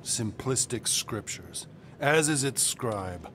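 A man's voice: mid-range voice, expressive, slow pace, fairly bright tone, a little hiss or hum. A man playing a proud, brooding cosmic warrior in a video game, speaking in ornate, archaic, alliterative phrases. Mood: critical. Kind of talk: theatrical video game acting.

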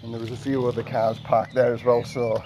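An older man with an English accent chatting casually while washing his car, in a monotone voice and a rambling storytelling manner. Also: mid-range voice, fairly quick, a little hiss or hum. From England. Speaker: vlogger. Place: outdoor carport of a house. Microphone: camera mic from a few meters away. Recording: outdoor camera audio with birdsong. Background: birds and rural outdoor ambience.

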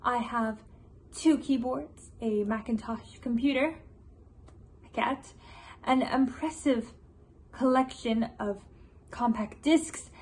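An anxious, restless writer nearing thirty played by a young woman with a high voice, confiding in a theatrical monologue. The delivery is deliberate and dramatic, with slow pacing. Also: fairly bright tone.

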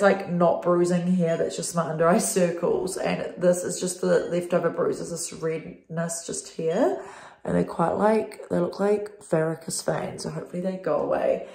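A woman's voice: fairly low pitch, vocal fry, a little mellow. A young New Zealand woman vlogging casually to her phone, her voice blocked up and nasal, her talk full of "like" and "um". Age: young adult. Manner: chatty, lots of "like" and "um". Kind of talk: casual vlog to camera. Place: small bathroom indoors. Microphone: phone camera at arm's length. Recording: echoey bathroom.

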